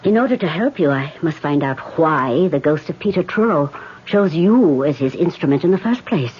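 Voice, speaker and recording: An actress playing a calm spirit medium leading a seance, often speaking in a hush, in an old radio drama. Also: female voice, sits low, normal speed, a little hiss or hum. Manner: calm, hushed, often whispering. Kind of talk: 1970s radio drama acting. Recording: dull old radio broadcast.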